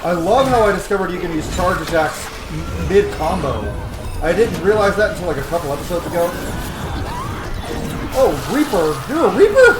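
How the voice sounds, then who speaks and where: A man in his thirties giving casual, sweary let's-play commentary in an animated way. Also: high voice, normal speed. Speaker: gaming streamer. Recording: roomy, game music behind. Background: game music.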